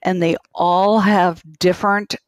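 A woman's voice: lowish voice, fairly even intonation, measured pace, no echo. Minnesota lady in her 60s calling in, plain earnest talk, a bit anxious, dry sound. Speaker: caller. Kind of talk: phone-in conversation.

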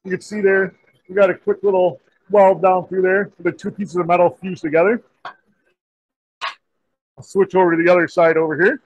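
Folksy shop teacher demonstrating welding. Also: male voice, sits high, normal speed, long pauses, lo-fi sound, slight background noise. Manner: relaxed, folksy, many "kinda" and "you know" fillers. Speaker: diesel technology teacher. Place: indoor school metal shop.

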